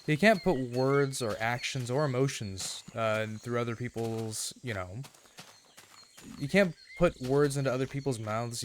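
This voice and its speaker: Man, casual gameplay commentary, earnest and rambling, slightly raspy throat, faint clicky background noise. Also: mid-range voice. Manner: earnest, often checking in with "right?". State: throat a bit rough. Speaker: gaming video host.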